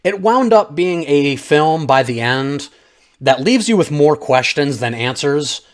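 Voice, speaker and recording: A man's voice: on the high side, expressive, measured pace. A man giving an off-the-cuff, opinionated video review, chatting informally and directly to his viewers. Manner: conversational, opinionated, informal slang, addresses audience as guys. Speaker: film reviewer, channel host. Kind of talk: casual unscripted video review, talking to viewers.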